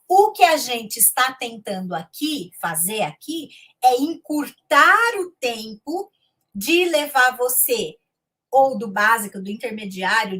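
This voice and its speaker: A woman's voice: fairly high pitch, fairly bright tone, slightly rough. A woman who teaches English, giving an online lesson in a conversational way that mixes in English phrases and often checks in with her listeners. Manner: switches between Portuguese and English.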